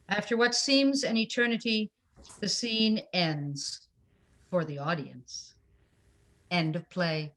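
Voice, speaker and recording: Woman, slow delivery, mid pitch, scripted stage-direction narration. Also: a bit bright, poor audio. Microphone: video call.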